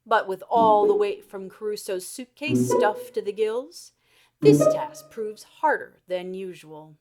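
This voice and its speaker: Woman doing kids read-aloud, slow n theatrical, dramatic pauses, music playing behind her. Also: mid-range voice, expressive. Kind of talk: expressive children's story narration.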